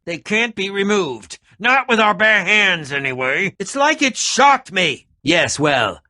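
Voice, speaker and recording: An eccentric middle-aged male adventurer for a video game. His voice is high and sharp, swinging widely in pitch. He sounds theatrical and pompous, with a dry, fussy, inquisitive streak. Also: big pitch swings, slow pace, full character voice. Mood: earnest, fussy. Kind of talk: animated video game voice acting.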